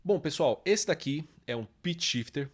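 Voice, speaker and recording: Brazilian man giving an informal software tutorial. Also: on the high side, animated, moderate pace, breathy edge. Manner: frequent tag questions checking understanding. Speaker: music production tutorial host. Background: guitar samples and music played from software.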